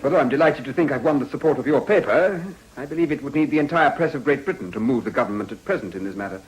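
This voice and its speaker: An actor playing a courteous, formal, slightly weary gentleman politician in a period radio drama. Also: mid-range voice, expressive, very fast, lightly acted, rough recording. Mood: formal, reserved. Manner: urbane, formal.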